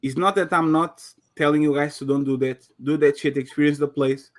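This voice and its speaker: A man with a Portuguese accent makes his case in a heated, casual debate. His voice sits on the higher side for a man. He swears freely and stumbles over restarts as he pushes his point. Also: fairly even intonation, fairly quick.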